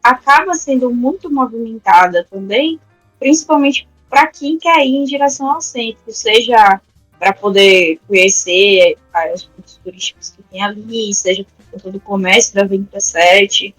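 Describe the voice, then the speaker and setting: A woman's voice: mid-range voice, moderate pace, fairly bright tone, no echo, soft background music, low-quality recording. A woman guest on a podcast who teaches and does research, explaining casually with many fillers and restarts. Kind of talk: casual conversational interview. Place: resident of a neighborhood in Salvador, Brazil.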